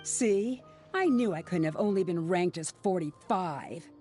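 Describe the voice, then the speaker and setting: A woman's voice: lowish voice, animated, a little slow, mellow, faint music behind. An actress playing a proud young female scholar in a video game, snide and teasing in a self-assured way. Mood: smug.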